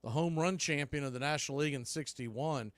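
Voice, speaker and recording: A man's voice: on the high side, brisk, no room sound. A man calling baseball play-by-play on a streamed radio show, conversational and rising on big plays. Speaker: play-by-play announcer.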